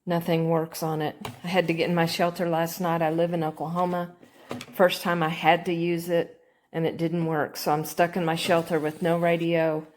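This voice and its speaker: Woman, lowish pitch, monotone, matter-of-fact, casual review, slight noise and clicks. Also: moderate pace. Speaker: customer reviewer. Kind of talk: casual spoken complaint review. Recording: faintly noisy amateur recording with clicks.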